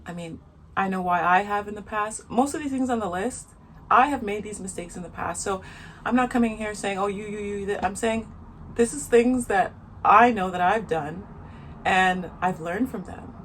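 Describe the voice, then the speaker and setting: A woman with a soft voice giving her frank opinions in a casual vlog, talking outdoors in the sun and repeating her points for emphasis. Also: medium pitch, normal speed, a little hiss or hum. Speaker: vlogger.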